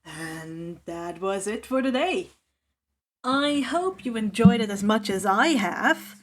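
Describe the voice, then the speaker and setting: A woman's voice: medium pitch, expressive, takes it slowly. A woman narrating fan fiction aloud as a podfic reader.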